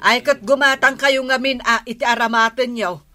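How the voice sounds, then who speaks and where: An actress with an Ilocano Filipino accent playing an indignant, gossiping neighbour who scolds and exclaims in a radio comedy drama. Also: female voice, a little high, steady tone, moderate pace, sharp tone.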